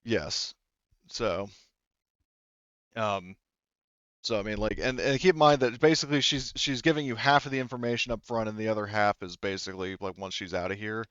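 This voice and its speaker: A man's voice: fairly low pitch, moderate pace, a bit bright, clean tone, dry recording, highs cut off. A man running a tabletop game as game master, explaining things casually in a hesitant, rambling way.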